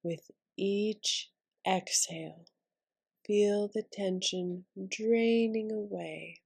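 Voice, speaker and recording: Very slow, breathy woman guiding meditation. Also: medium pitch, fairly even intonation, a little mellow. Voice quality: breathy, whispery. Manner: soothing, slow with long pauses. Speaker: meditation guide. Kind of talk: guided meditation narration.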